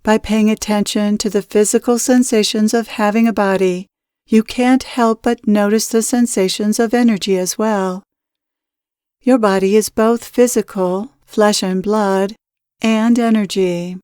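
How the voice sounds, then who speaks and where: A woman leading a guided meditation as a spiritual teacher, speaking in measured, deliberate, instructional phrases. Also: medium pitch, fairly even intonation, dark tone, clean tone, no room sound, clean studio sound.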